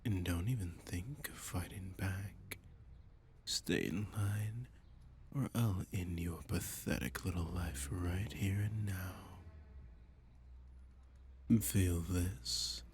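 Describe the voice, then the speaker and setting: A man playing a flirty, menacing masked villain in an ASMR roleplay, breathy and husky, often whispering, with a domineering, teasing, condescending manner. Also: deep voice, steady tone, takes it slowly, pauses often, a little mellow, slight background noise. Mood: threatening.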